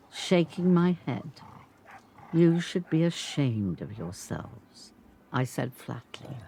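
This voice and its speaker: An older woman acting an 85-year-old grandmother telling her story in a measured, deliberate dramatic monologue. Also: deep voice, darkish tone, slight background noise. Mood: quiet, firm. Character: 85-year-old grandmother recounting a family confrontation. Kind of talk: dramatic monologue, scripted narration.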